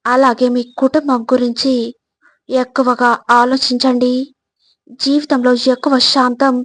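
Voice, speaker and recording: A woman with a slightly high voice delivers scripted motivational narration. Her melody stays very flat and she speaks straight to the listener in a measured, teaching manner. The recording is dry and close, with no room sound. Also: no room sound. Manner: measured, instructive, addressing the listener directly.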